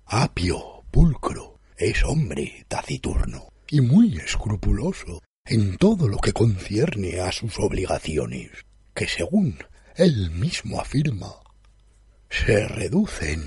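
Male literary narrator: lowish, very husky, unhurried, formal theatrical delivery. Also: darkish tone, no room sound, studio quality. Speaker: narrator, reader. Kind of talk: literary story narration in first person.

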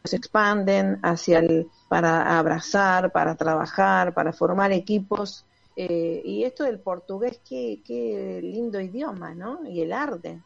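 Gravelly-voiced chatty woman radio host. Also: medium pitch, measured pace, few pauses, no room sound, dull audio. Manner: warm chatty, many fillers and tag questions. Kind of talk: casual radio interview.

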